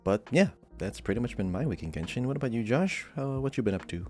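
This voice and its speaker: A man's voice: medium pitch, expressive, fast talker, a little mellow, faint music behind. A man co-hosting a gaming podcast, talking in a casual, conversational style. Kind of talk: casual chat.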